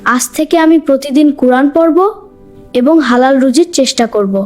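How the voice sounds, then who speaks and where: A woman's voice: high voice, normal speed, a little mellow, close to an everyday voice. A woman voicing an earnest young boy in a moral cartoon, dramatic and repentant. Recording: music behind the voice.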